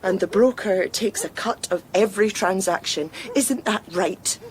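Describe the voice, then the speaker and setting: A woman's voice: measured pace, lightly acted. An actress playing a defiant Victorian woman in a gothic radio drama, biting and sarcastic towards a villain. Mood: scornful. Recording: faint rumbling background noise.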